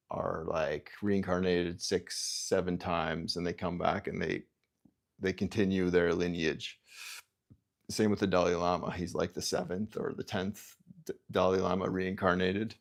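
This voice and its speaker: A man from Canada hosting a livestream, musing aloud in halting, self-interrupting sentences and reading out viewer comments. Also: deep voice, steady tone, creaky. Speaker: livestream host. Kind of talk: rambling livestream chat.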